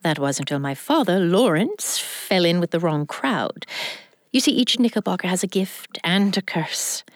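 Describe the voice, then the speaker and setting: Flamboyant rich old widow, swooping delivery. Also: female voice, fairly low pitch, sing-song, normal speed, no echo, clean studio sound. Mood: grand, amused. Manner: theatrical, grand, flirtatious drawl. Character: flamboyant, lusty, vengeful wealthy widow in her seventies. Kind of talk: audio drama acting.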